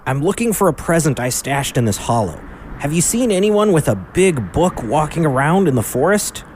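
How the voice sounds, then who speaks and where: A man's voice: a little high, very animated, normal speed, darkish tone, slight background noise. A man voicing an earnest, worried ox in a family radio drama, with an anxious, pleading manner. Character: earnest, worried young male blue ox.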